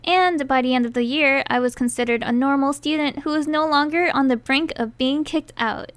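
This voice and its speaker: A high-voiced young woman narrates a personal storytime in a chatty, self-mocking tone. Thai is her first language, though she grew up in the United States. The sound is close and dry with no room around it. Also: moderate pace, dry recording. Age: young adult. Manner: chatty, self-deprecating, wry asides. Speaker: animator telling her own story.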